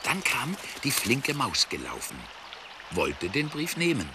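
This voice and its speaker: A man narrating a children's story expressively, with deliberate, dramatic pauses. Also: mid-range voice, huge pitch swings. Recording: clearly noisy soundtrack.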